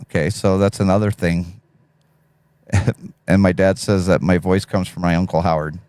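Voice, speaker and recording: A man with a deep radio voice hosting a casual live stream, reading chat messages aloud and chatting easily. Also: level tone, normal speed, darkish tone, no room sound. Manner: laid-back, reads chat messages aloud, laughs often.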